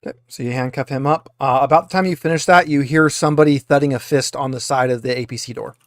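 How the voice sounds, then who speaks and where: A man acting as game master, narrating a tabletop game in a halting, conversational way as he explains things. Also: mid-range voice, dry recording.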